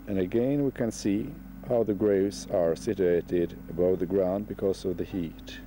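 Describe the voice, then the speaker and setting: A man narrating a home travel video outdoors by a lake in a slow, halting way, recorded on a camcorder. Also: low voice, noisy background. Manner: slow, halting commentary with pauses.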